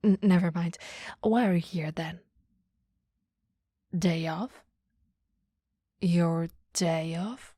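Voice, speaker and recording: A woman playing a caring, flirty, easily flustered boss in a soft, intimate ASMR roleplay, often whispering, gently teasing and a little hesitant. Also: fairly low pitch, fairly even intonation, drawn-out words, pauses often, no room sound, clean studio sound. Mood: puzzled, probing. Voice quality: breathy, soft. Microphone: close microphone, dry.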